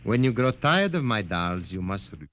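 An actor playing a grandiose, secretive doll maker in an old radio drama, speaking theatrically. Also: male voice, lowish voice, very animated, moderate pace, a bit bright, slight background noise. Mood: boastful. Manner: theatrical, boastful, foreign-sounding. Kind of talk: 1950s radio drama acting.

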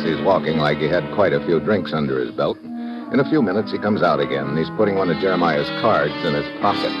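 Hardboiled old radio sleuth, really low clipped wry voice, music under it, dull audio. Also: male voice. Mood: cool, scheming. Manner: clipped, wry tough-guy delivery. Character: hardboiled male investigator narrating his own adventure, cool, wry. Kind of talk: 1940s-50s radio drama narration and acting. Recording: muffled old radio recording, musical accompaniment.

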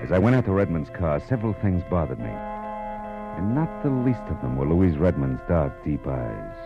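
A hard-boiled private eye with a very low voice. He is clipped, tough and world-weary, in the manner of 1940s radio noir. The old recording is muffled, with music in it. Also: big pitch swings, normal speed, full character voice. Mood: tense, brooding. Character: hard-boiled male private detective, cool and commanding. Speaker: lead actor and narrator.

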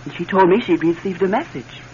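A woman's voice: lowish voice, brisk, darkish tone, close to an everyday voice. A woman playing an anxious, affectionate wife in a classic radio drama, hesitant and emotional. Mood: confessing, evasive. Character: anxious, affectionate wife haunted by her late husband. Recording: dull, hissy old radio recording.

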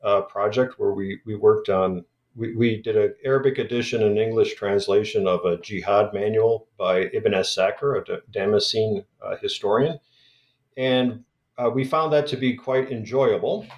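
A man's voice: lowish voice, fairly even intonation, echoey room, lo-fi sound. A man talking as a guest scholar in an interview, hesitant and full of restarts and fillers. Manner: halting, many restarts and fillers.

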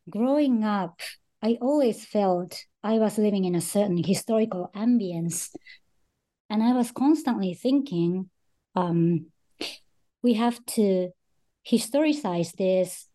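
Japanese-accented woman academic, soft mellow tone, thoughtful and halting in interview. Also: medium pitch. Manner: thoughtful, with frequent "um" and "you know". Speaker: author guest, associate professor. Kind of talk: podcast interview.

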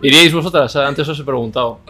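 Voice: mid-range voice, quick pace. A podcast host chatting playfully with guests at a live show before an audience. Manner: playful, guessing and teasing. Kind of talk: casual live podcast chat. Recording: live audience crowd noise.

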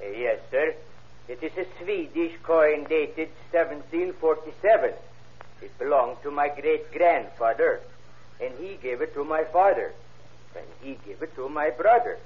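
An actor playing a polite, hesitant, ordinary witness giving plain answers in an old-time radio courtroom drama. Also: male voice, on the high side, animated, a little slow. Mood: hesitant, earnest. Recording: muffled vintage radio recording.

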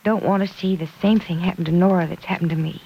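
A woman playing a caring, protective guardian, gentle and earnest with a teasing side, in 1940s radio serial acting. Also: on the low side, fairly even intonation, fast, gravelly, breathy edge. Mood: earnest, concerned. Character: Penny Gibbs, caring, protective woman guardian wary of young love. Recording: dull old radio recording.

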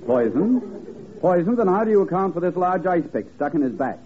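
Pompous, swooping radio detective. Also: male voice, sits high, very animated, normal speed. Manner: pompous, theatrical. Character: pompous, absent-minded male master detective. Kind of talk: 1950s radio comedy detective spoof acting. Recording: tinny, band-limited old radio recording with hiss.

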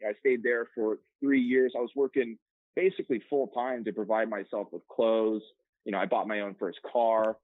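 A man's voice: on the low side, fairly even intonation, normal speed, no room sound. Man talking through a tinny phone line. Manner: rambling, filler-heavy, candid. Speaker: podcast guest. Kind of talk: casual interview storytelling.

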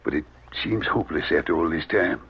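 An actor playing a weary, middle-aged American fugitive cowboy with a gruff, husky voice in a radio western. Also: male voice, rumbling low, brisk, heavily acted. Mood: resigned. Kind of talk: old-time radio western acting. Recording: dull old radio recording.

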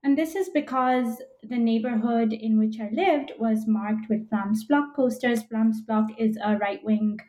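Higher-pitched woman, articulate interview guest. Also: measured pace, hardly any pauses, warm tone. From Belgium. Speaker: podcast guest, literature professor. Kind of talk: reflective personal account in an interview.

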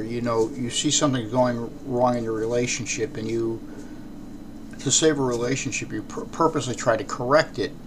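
An American man with a raspy voice hosting a podcast, talking off the cuff in a halting, rambling way. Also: medium pitch. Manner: halting, throat-clearing, rambling. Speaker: podcast host. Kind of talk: unscripted podcast talk. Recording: clearly noisy background.

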